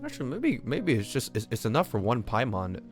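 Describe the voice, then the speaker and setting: Man, game streamer, casual slangy commentary, very animated intonation, faint music behind. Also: medium pitch, fairly quick. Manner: slangy, incredulous, swearing.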